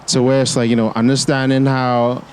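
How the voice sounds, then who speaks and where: Laid-back Bahamian host. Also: male voice, medium pitch, fairly quick, a little hiss or hum. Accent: Bahamian with American mix. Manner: relaxed, loose questioning with many fillers. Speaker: podcast host. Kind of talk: casual interview talk.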